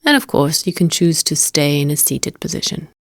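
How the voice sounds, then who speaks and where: A woman guiding a meditation as a teacher, speaking in a gentle, unhurried and invitational manner. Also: low voice, lively intonation, no echo, studio quality. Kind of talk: guided meditation narration.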